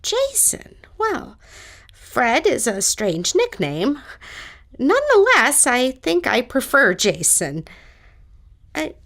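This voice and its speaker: Woman acting slow, swooping, genteel older lady. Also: high voice, huge pitch swings, fairly bright tone, full character voice. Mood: friendly, gracious. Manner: genteel, chatty, addresses others as dear. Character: chatty, kindly older lady befriending a lonely ghost. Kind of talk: audio drama acting.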